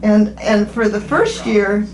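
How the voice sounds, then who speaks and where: An elderly woman in her eighties talks casually in an echoey room with faint noise behind her. She rambles in a halting way, restarting and repeating phrases as a patient explaining herself. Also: medium pitch. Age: 80s. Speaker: podiatry patient. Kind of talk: casual chat during an appointment.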